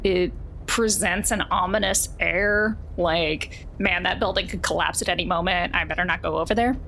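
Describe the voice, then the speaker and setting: A woman's voice: mid-range voice, a bit bright, slight background noise, rough recording. A woman narrating a tabletop game as its game master in a conversational, folksy way.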